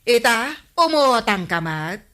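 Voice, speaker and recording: A scolding village councilor who runs a store, in Ilocano radio comedy. The voice is fairly high, sharp and bright, with nagging emphasis. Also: female voice, big pitch swings, slow, exaggerated voice.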